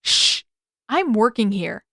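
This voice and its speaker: A sarcastic teenage boy performed by a woman reading a story, with a lifted pitch and an exasperated, teasing delivery. He sounds goofy and easily irritated. The sound is dry, without room echo. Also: very animated, slow, bright, forceful tone, exaggerated voice.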